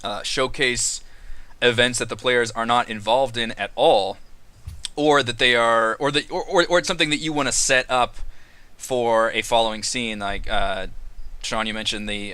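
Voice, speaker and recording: A man's voice: medium pitch, fairly even intonation, normal speed, bright, forceful tone, smooth, dry recording. A man hosting a casual roundtable discussion, explaining things in an informal way with frequent asides. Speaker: host of a tabletop RPG discussion.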